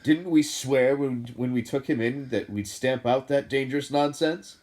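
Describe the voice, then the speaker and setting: A man voicing a short-tempered middle-aged uncle who talks gruffly and blusters. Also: mid-range voice, normal speed, darkish tone, voice pushed up, natural voice. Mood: blustering.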